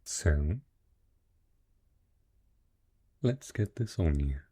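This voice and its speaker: Guy doing a rich dragon in human form, real low dark voice, slow and teasing, possessive, romantic fantasy audio. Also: lively intonation, slightly rough, exaggerated voice. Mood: coaxing, insistent. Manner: slow, teasing, courtly, coaxing. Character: wealthy dragon in human guise, possessive, seductive, teasing merchant patron. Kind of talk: romantic fantasy audio roleplay, intimate address to the listener.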